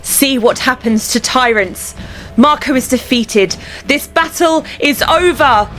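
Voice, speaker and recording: A woman's voice: sits high, fairly bright tone, faint music behind. A woman playing a proud, resolute young warrior queen in a fantasy fandub, speaking firmly and solemnly. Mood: proclaiming. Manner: firm, solemn, deliberate. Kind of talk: fantasy audio drama fandub acting.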